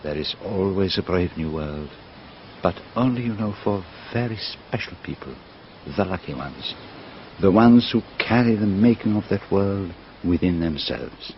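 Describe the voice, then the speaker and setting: An older gentleman detective in a radio mystery, formal and politely probing. His delivery rises and falls in lively fashion. The recording is dull, with noise behind it. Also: low voice, measured pace. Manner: formal, precise, politely inquisitive. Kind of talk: radio drama acting. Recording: dull lo-fi radio drama, noisy.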